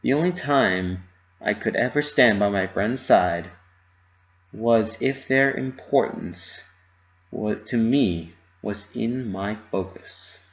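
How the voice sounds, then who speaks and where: A man's voice: lowish voice, takes it slowly, highs cut off. A man giving a theatrical, emotive dramatic reading of a first-person story. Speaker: amateur story reader.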